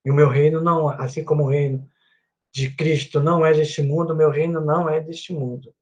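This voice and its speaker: Brazilian guy teaching sunday school over a computer mic, high voice, earnest, lots of little tag questions. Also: level tone, brisk, lo-fi sound. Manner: earnest, repeats himself with many "né" tags. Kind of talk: Bible class teaching. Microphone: microphone with headphones at a computer.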